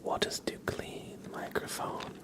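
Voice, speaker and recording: Whispering male ASMR streamer. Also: measured pace. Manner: relaxed, soft-spoken. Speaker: ASMR livestream host. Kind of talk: whispered ASMR, casual livestream chat. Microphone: close sensitive ASMR microphone.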